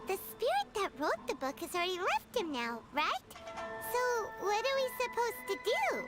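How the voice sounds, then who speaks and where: A woman's voice: moderate pace, full character voice. A woman voicing a tiny, jittery female fairy companion in a game, who talks about herself in the third person. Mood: curious. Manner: refers to herself in the third person, squeaky and excitable. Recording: game audio with loud music behind.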